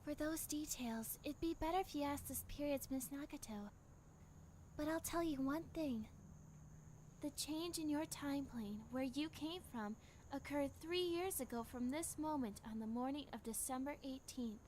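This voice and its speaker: Woman acting a gentle, high-voiced young female guide. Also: moderate pace, a little hiss or hum, lightly acted. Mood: serious, explaining. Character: grown young woman time traveller, gentle, guiding. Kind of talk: anime fan dub acting. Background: background music.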